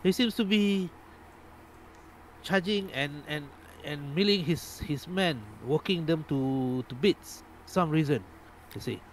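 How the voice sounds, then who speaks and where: A man's voice: fairly high pitch, slow pace, slight background noise. A man narrating as game master of a tabletop game, often breaking into laughs.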